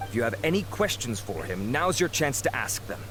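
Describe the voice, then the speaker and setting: An actor playing a calmly authoritative officer of the law in a video game, speaking formally and in measured phrases. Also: male voice, medium pitch, lightly acted. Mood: stern. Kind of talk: English video game voice acting. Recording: clear background game noise.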